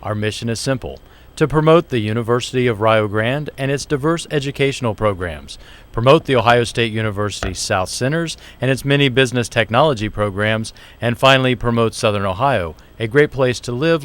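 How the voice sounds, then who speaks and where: A man's voice: medium pitch, a little fast, a bit bright. Chatty male talk show host. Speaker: show host, small business center director. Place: university TV studio.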